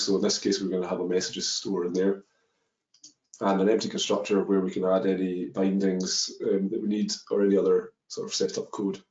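Low monotone guy doing a live coding walkthrough, lots of ums, echoey dull webinar sound. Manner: explains step by step with many ums and uhs. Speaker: webinar presenter.

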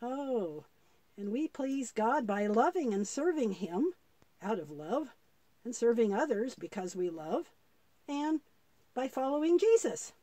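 A woman's voice: fairly high pitch, sing-song, a little slow, dark tone, no echo. A woman voicing an eager, good-hearted young boy puppet who thinks aloud artlessly in a children's puppet show. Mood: earnest realization.

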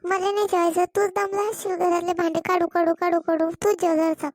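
A woman's voice: very high-pitched, level tone, fairly quick, darkish tone, dry recording, studio quality. A woman voicing a put-upon young elder sister in a cartoon, complaining and grumbling. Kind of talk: Marathi cartoon comedy voice acting.

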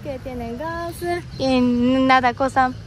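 Japanese-native woman, high-voiced travel vlogger, slow hesitant Spanish over loud airport noise. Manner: halting learner's Spanish, frequent fillers. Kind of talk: casual travel vlog narration. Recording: noisy airport background.

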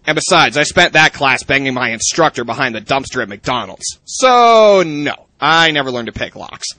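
A man's voice: medium pitch, animated, moderate pace, sharp tone, no echo. A man playing an irritable, foul-mouthed young secret agent, sarcastic and exasperated, in a video game parody. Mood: grumbling, sarcastic.

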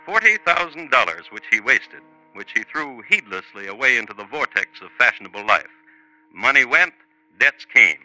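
A man playing a wry, genteel crime storyteller in formal, deliberate 1950s radio narration. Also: lowish voice, sharp tone, no echo, full character voice, low-quality recording. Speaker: narrator and host of a crime drama. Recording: 1950s radio broadcast recording.

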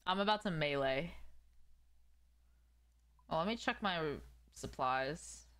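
A young woman talks casually as she plays a game on a live stream. Her voice sits a little low for a woman, and the sound is dry, with little room in it. She is slangy and playful, trading jokes with her viewers. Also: animated, slow, a bit bright, no echo. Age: young adult. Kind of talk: casual live stream chat while gaming. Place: small room at home, indoors.

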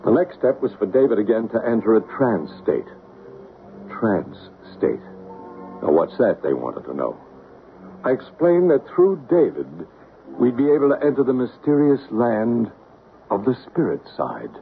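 A calm psychic investigator speaks in a medium-pitched, earnest, coaxing voice. It is 1970s radio drama with a thin, tinny sound. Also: male voice, measured pace, vocal fry, faint music behind. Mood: explaining.

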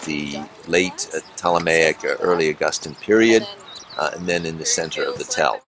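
A deep-voiced man explains an excavation at a slow, measured pace, pausing often on fillers. Clear music plays underneath his voice. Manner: measured, hesitant with many fillers. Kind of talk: documentary explanation. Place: outdoor excavation site.

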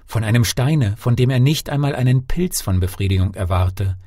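A man's voice: mid-range voice, expressive, moderate pace, mellow, no echo, clean studio sound. A man reading a fairy tale as an audiobook narrator, theatrical and carefully articulated in his delivery.

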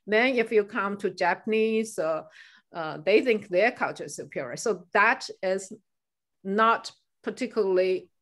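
A woman's voice: mid-range voice, lively intonation, measured pace, a bit bright. A middle-aged woman from China, a native Chinese speaker, answering questions on a video call, with many fillers and restarts as she thinks aloud. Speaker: guest speaker. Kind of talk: answering questions in an online meeting. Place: home room by a staircase.

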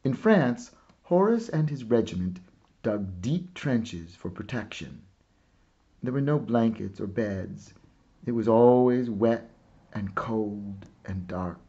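A man reading a children's picture book aloud expressively, with dramatic pauses and hushed phrase endings. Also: fairly low pitch, expressive, dull audio. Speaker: storybook reader. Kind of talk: scripted narration, picture book read-aloud.

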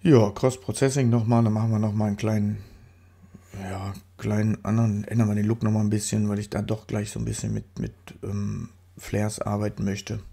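A man's voice: lowish voice, little pitch movement, a little fast, creaky, mellow, slightly rough. A man with a stuffy nose from a cold, chatting casually through a photo-editing tutorial voice-over, rambling and correcting himself as he goes. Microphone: studio microphone.

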